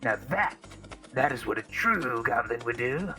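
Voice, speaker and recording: An actor voicing an eager, dim male goblin phoning a comedy show, strained and stuffy, halting and repeating himself. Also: medium pitch, lively intonation, sharp tone, soft background music. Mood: earnest. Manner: halting, repetitive, seeking approval. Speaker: caller.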